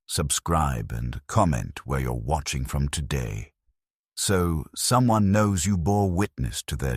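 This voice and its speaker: A man delivering dramatic scripted first-person narration in a grim, solemn, measured storytelling manner. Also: very deep voice, no room sound, studio quality. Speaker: narrator of science fiction lore stories.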